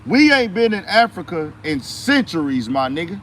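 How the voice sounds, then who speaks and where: A man's voice: sits high, very animated, normal speed, a bit bright, a little hiss or hum. Emphatic man ranting, rhetorical questions. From United States. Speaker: commentary host. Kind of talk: political commentary monologue.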